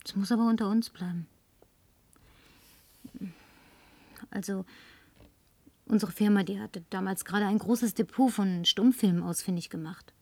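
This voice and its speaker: A woman's voice: mid-range voice, normal speed, darkish tone, no echo, lightly acted. A woman dubbing a lonely, tipsy, flirtatious woman, talking in a coaxing, whispery way that at times turns demanding. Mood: confiding. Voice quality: breathy, whispery. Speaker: advertising agency woman. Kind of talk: German film dub.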